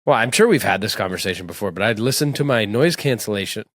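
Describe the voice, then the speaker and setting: A man's voice: lowish voice, lively intonation, fast, a bit bright, dry recording, studio quality. A man co-hosting a podcast, chatting casually with profane, playful teasing. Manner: profane, joking, playfully mocking. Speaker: podcast co-host. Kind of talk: casual chat.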